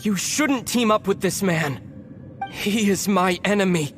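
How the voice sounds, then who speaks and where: Higher-voiced solemn young prince. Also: male voice, fairly bright tone, soft background music. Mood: stern resolve. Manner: earnest, measured, formal. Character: solemn resolute young prince, estranged twin brother. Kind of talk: video game voice acting.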